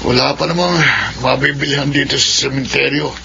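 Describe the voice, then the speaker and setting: A Filipino actor playing a shifty, hot-headed young thug with a gravelly voice in a radio drama. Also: male voice, medium pitch, fairly even intonation, fairly quick. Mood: grumbling. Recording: dull, noisy lo-fi radio.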